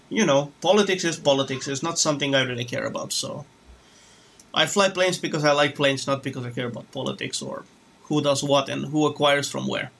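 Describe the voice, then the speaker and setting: A man's voice: fairly high pitch, vocal fry, pushed and bright. A man from Romania chatting casually on a live stream while flying a simulator, rambling and restarting his sentences. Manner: rambling, hesitant, many restarts. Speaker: flight sim streamer.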